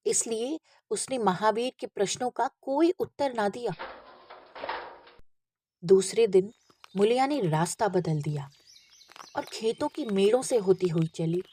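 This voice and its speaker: A woman's voice: medium pitch, animated, measured pace, long pauses, mellow, a little hiss or hum. A woman reading a literary story aloud as an audiobook narrator, with expression.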